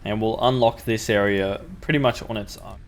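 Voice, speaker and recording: A man's voice: on the low side, normal speed. Man with a sore throat, gameplay commentary. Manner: relaxed, thinking aloud with ums. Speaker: gaming channel host.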